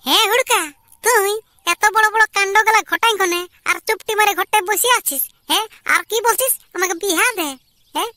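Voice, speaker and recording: A scolding cartoon village mother with an extremely high, piercing voice. She nags quickly and sarcastically in a Purulia Bengali accent. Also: pushed and bright, no room sound. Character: scolding, exasperated village mother of grown sons. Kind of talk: amateur cartoon comedy dialogue.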